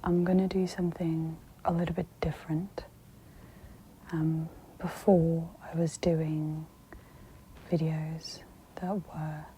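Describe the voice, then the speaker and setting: A woman whispers softly and breathily as she gently guides an ASMR meditation in a soothing, instructive manner. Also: low-pitched, slight background noise, rough recording.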